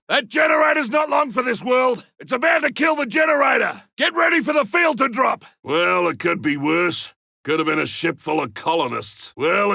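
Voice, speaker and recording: An actor playing a gruff, cocky, battle-hardened monster hunter in a video game, with a raspy, gravelly voice, barking urgent combat callouts. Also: male voice, sits high, pushed and bright, no room sound, clean studio sound, full character voice. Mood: wry, subdued. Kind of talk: video game character voice lines.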